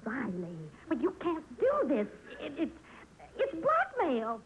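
On a muffled, noisy old radio recording, a fretful housewife and mother. Her voice is high and very lively, earnest and pleading, with a scolding edge of principle. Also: slow, heavily acted. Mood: anxious. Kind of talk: old-time radio sitcom acting.

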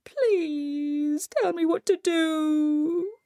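A woman's voice: very high-pitched, drawn-out words, dark tone, no room sound, studio quality, voice pushed up, full character voice. A woman storyteller voicing a male trickster coyote, half man and half dog, exclaiming and wheedling melodramatically. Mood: pleading, flattering. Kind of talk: live oral storytelling.

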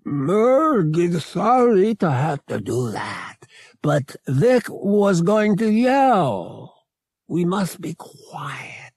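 A man's voice: high-pitched, very animated, drawn-out words, darkish tone, husky, dry recording, clean studio sound, pitched above the performer's own voice, full character voice. A man voicing a huge, earnest, anxious cat-like alien in an audiobook, speaking in clipped, broken grammar. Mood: hushed, apologetic. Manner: clipped broken grammar, third-person self-reference. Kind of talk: audiobook character voice.

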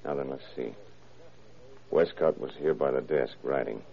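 A very deep-voiced male detective, shrewd and commanding. He speaks in dry, clipped, sarcastic lines. The sound is a narrow, muffled vintage radio recording. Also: normal speed, gravelly. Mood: hushed, intent. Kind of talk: old-time radio detective acting.

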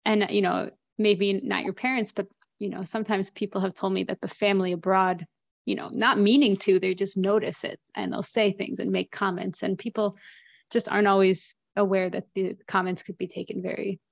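A woman's voice: mid-range voice, level tone, normal speed, vocal fry, no room sound, dull audio. A woman hosting a casual interview podcast, encouraging her guest with frequent affirming murmurs. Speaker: podcast host.

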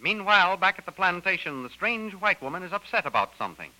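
A man's voice: high voice, sing-song, quick pace. A man playing the narrator of an old radio adventure serial, in dramatic announcer style. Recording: hissy old radio recording.

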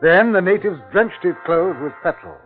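Higher-voiced grave commissioner, old radio. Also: male voice, animated. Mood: grave, ominous. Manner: grave, measured, theatrical. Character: anxious, earnest male government commissioner, narrating the ordeal. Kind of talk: 1950s radio drama acting and dramatic narration. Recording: tinny 1950s radio recording with music.

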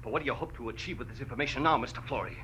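A man's voice: medium pitch, racing, bright, forceful tone, natural voice. An actor playing a menacing, taunting male killer with precise, sneering delivery in 1940s radio melodrama. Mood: taunting menace. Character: cornered male mine-owner villain, menacing, sneering killer. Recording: noisy, harsh old radio recording.

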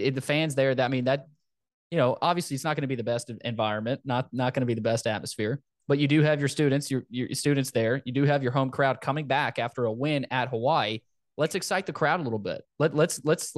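A man's voice: medium pitch, no room sound, studio quality. A man hosting a sports podcast, talking through a football preview in a casual, conversational way.

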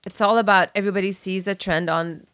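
A woman's voice: medium pitch, steady tone, fairly bright tone, clear, resonant tone, no echo. A woman in her forties, originally from Afghanistan, chatting casually and emphatically in a group conversation. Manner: chatty and emphatic, quick back-and-forth. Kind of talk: casual chat.

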